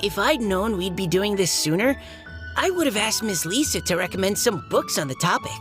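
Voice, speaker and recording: Woman voicing a hesitant young game character, medium pitch. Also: lively intonation, normal speed, music in the background, lightly acted. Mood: unsure. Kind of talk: animated game dialogue.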